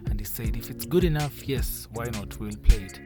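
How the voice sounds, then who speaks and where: A Kenyan man speaks as a radio drama writer in an interview, telling his own account. His mid-pitched voice sits over clear background music. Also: moderate pace.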